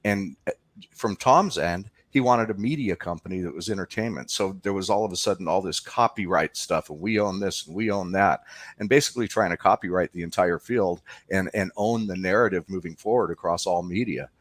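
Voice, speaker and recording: A man with a low-pitched voice talks as a guest in a conversational interview. He explains things informally, and the sound is dry and close, without room echo. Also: fairly quick.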